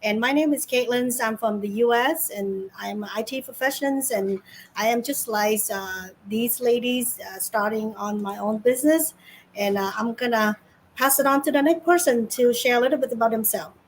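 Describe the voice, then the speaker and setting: Woman, high pitch, panel guest, casual introduction, faint background noise. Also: normal speed, rough recording.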